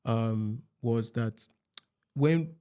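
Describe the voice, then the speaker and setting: A man's voice: mid-range voice, very slow, dark tone, clear, resonant tone. A man in his thirties from Nigeria, co-hosting a casual podcast, chatty and often agreeing. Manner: agreeable, chatty, lots of "yeah" and "exactly". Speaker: podcast co-host.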